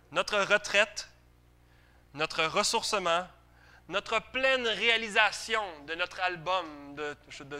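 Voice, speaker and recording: A man's voice: a little high, pushed and bright, smooth. A man with a Quebec French accent preaching a sermon to a congregation in an emphatic but conversational way, often putting questions to his listeners.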